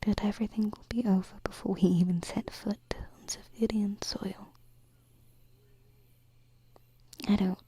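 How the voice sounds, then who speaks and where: A woman voicing a gentle, playful, wistful alien princess in an ASMR roleplay, whispering breathily and tenderly, close to a binaural microphone. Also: medium pitch, steady tone, a little hiss or hum, close to an everyday voice. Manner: slow, hesitant, tender, softly teasing.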